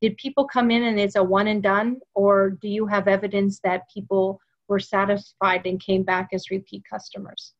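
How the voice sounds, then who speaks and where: A woman hosting a sales interview over a video call from her home office, speaking with animated enthusiasm. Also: medium pitch, normal speed, hardly any pauses, muffled recording. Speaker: webinar host. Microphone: webcam video call.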